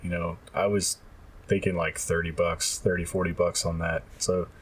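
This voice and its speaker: A man's voice: deep voice, flat delivery, moderate pace. A man co-hosting a podcast, talking casually about retro games, recorded at a distance from the mic. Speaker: podcast co-host. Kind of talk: casual chat about retro game prices. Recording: distant mic, noticeable background noise.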